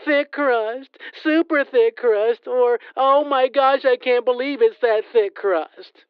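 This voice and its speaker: A woman's voice: fairly high pitch, slow pace, clear, resonant tone, no room sound, phone-quality sound. A woman playing a new young pizza clerk who keeps upselling in a children's comedy skit. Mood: chirpy. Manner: chirpy upselling, flustered.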